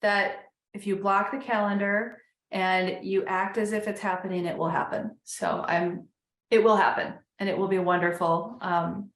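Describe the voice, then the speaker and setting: A woman's voice: mid-range voice, fairly even intonation, normal speed, fairly bright tone. Female podcast host in an echoey room. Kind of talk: casual interview chat.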